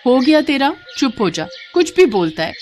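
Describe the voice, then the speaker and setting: A woman acting an outspoken village wife, very animated. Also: high voice, normal speed, slight background noise, pitched above the performer's own voice. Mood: scolding.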